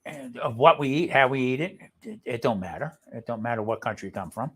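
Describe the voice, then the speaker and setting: A man's voice: medium pitch, lively intonation, fairly quick, a bit bright, breathy edge. A man with a New Jersey accent, chatting and telling stories as a livestream co-host, blunt and rambling, often checking that listeners follow. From New Jersey, United States. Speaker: live show co-host. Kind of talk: casual livestream chat and storytelling.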